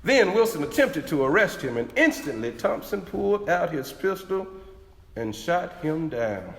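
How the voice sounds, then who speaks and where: A man playing a young former slave giving eyewitness testimony in an animated, earnest dramatic reading. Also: fairly high pitch, measured pace, creaky, exaggerated voice. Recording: echoey hall with long reverb.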